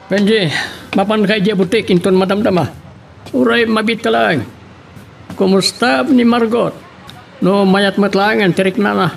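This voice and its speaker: High-pitched, creaky Ilocano boss. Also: male voice, steady tone, measured pace, pauses often, a little hiss or hum. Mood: commanding, casual. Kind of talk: Ilocano radio drama acting.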